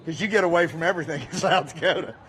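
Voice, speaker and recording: A man's voice: a little high, racing, a bit bright, a little hiss or hum. A man from Iowa with a Southern US accent, hosting live banter on an expo stage with folksy wisecracks into a handheld mic. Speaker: host. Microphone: handheld microphone.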